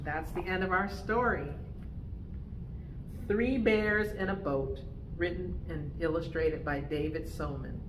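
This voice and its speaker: A woman hosting a preschool storytime, speaking in simple, expressive phrases for young children. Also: on the low side, takes it slowly, background noise. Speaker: children's librarian and storytime host. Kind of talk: preschool storytime reading and narration.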